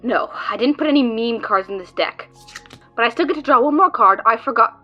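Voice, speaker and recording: A woman's voice: fairly high pitch, animated, brisk, highs cut off, soft background music. A woman playing a tense, sarcastic card player trying to save her friend in an audio drama, with a biting, dramatic delivery. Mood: deflated.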